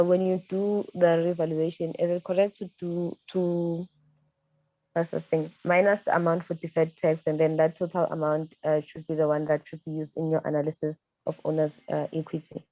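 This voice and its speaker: A woman's voice: lowish voice, dark tone. A woman speaking as a student, tentatively asking her tutor questions and often checking she is understood, on dull, roomy online-call audio. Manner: tentative, checks with a tag "right?". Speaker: accounting student.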